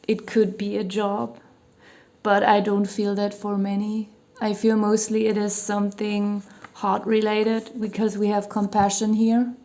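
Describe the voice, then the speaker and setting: A woman giving a relaxed tarot reading in a mellow, even tone, her voice a little hoarse from a cold. She wanders through her thoughts with plenty of fillers and soft hedges. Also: medium pitch, measured pace. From Germany. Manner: meandering, hedging, many "you know" fillers. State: losing her voice, slightly hoarse. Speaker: tarot reader. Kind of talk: casual tarot reading monologue. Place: home near a hospital, sirens outside.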